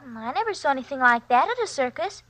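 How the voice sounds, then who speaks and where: Very high-pitched, innocent little schoolgirl. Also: sing-song, fast, a bit bright. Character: innocent, curious schoolgirl, eager little daughter. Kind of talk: 1940s radio drama acting. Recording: vintage 1940s radio recording.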